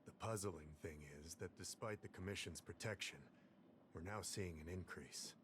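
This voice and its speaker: An actor playing a polite, earnest male civil servant in a fantasy video game, speaking in a formal, measured way. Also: sits low, noisy background, lightly acted. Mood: grave, concerned. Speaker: game character, government official. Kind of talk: scripted video game dialogue. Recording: game music behind the voice.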